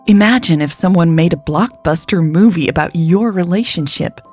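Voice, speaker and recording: A woman narrates a romantic story in the first person. Her voice is husky and fairly low, with vocal fry at the edges. She is measured and reflective, as if confiding in the listener. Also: darkish tone.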